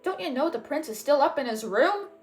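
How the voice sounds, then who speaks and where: A woman voicing a gruff, bullying villain captain in a storybook, growling and shouting orders. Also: high-pitched, expressive, brisk, reverberant, soft background music, pitched above the performer's own voice. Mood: angry.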